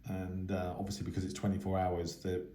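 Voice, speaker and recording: Low-voiced man in an echoey room. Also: monotone, a little fast, warm tone, slight background noise. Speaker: radio hobby YouTuber. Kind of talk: casual news update to camera, unscripted. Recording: echoey, roomy sound.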